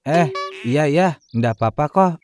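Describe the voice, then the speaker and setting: A man's voice: fairly high pitch, animated, unhurried, darkish tone, faint music behind. A man voicing a joking, haggling slacker in a comic cartoon, speaking colloquial Jakarta Indonesian in a teasing, hesitant way. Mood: sheepish.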